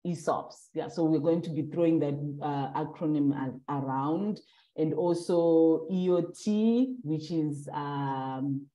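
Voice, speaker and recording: A woman's voice: deep voice, slow pace, mellow, echoey room, rough recording. A young adult woman from Southern Africa, interviewing in a casual, hesitant way with many fillers.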